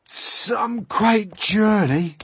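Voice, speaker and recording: A man voicing a loud, fussy, bossy centipede with a dramatic, demanding manner in a children's storybook reading. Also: sits high, very slow, breathy edge, no room sound, voice pushed up, full character voice. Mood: sour, weary.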